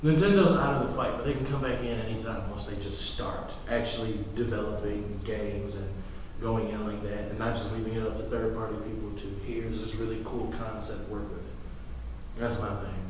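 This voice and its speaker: A man's voice: fairly low pitch, fairly even intonation, moderate pace, a little mellow. Blunt man in a very echoey room. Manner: blunt, informal, acts out imagined quotes. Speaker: podcast co-host. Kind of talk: casual podcast banter. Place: echoey room, away from the mic. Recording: very echoey, distant mic, faint noise.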